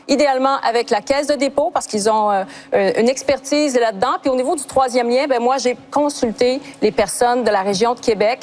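A woman's voice: fairly high pitch, brisk, few pauses, darkish tone. A woman with a Quebec French accent in a political debate, listing her record with frequent hesitant fillers. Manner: lists achievements, hesitant fillers. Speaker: party leader in a debate. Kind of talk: debate argument.

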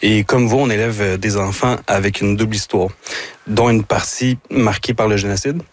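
Man, Québécois accent, fairly low voice, earnest, measured, audience question. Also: steady tone. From Quebec. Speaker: audience member asking a question.

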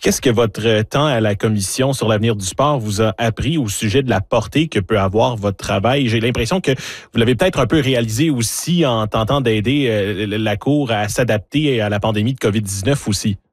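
A man's voice: lowish voice, normal speed, no echo, clean studio sound. A man with a Canadian French accent working as a news interviewer, asking conversational questions with some hesitant rephrasing.

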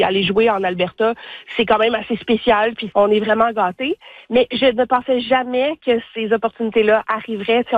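A woman's voice: mid-range voice, no room sound, phone-quality sound. A woman with a Franco-Ontarian accent talking casually and effusively as an interview guest, telling things in an informal, anecdotal way. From Ontario, Canada. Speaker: singer-songwriter, interview guest. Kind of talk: casual, candid interview talk.

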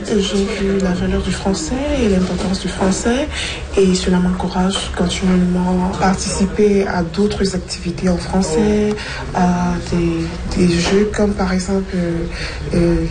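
A woman's voice: on the low side, little pitch movement, a little slow, a crowd in the background, rough recording. A woman answering a radio interview earnestly, stumbling on some words. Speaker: summit participant.

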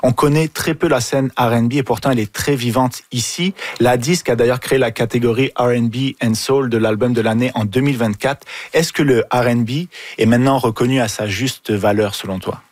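A man's voice: medium pitch, fairly quick, few pauses, darkish tone, no room sound. Man interviewing with long framed questions. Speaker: interviewer, host. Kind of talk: radio-style interview.

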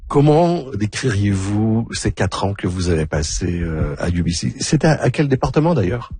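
A man interviewing a guest, asking measured questions with a playful touch. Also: fairly low pitch, big pitch swings, mellow, husky, no room sound. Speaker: radio interviewer.

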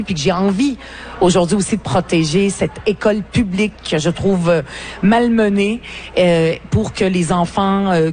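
A woman's voice: medium pitch, darkish tone, a little hiss or hum. A woman in her forties from Lebanon with a Québécois French accent, whose first language is Arabic, talking earnestly as a politician and author guest at a book fair, with many hesitations. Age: 40s.

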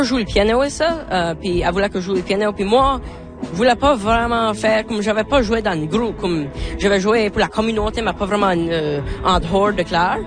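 A teenage boy, talking casually and hesitantly. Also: high voice. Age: teens.

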